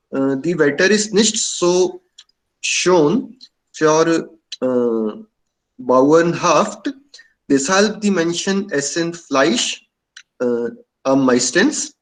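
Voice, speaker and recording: A man from India talks slowly in a non-native tongue. He stops often and fills the gaps with pauses. His voice sits somewhat high for a man. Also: animated, poor audio. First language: Indian language. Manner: halting, many fillers. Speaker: club member sharing views. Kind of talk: hesitant casual talk in learner German.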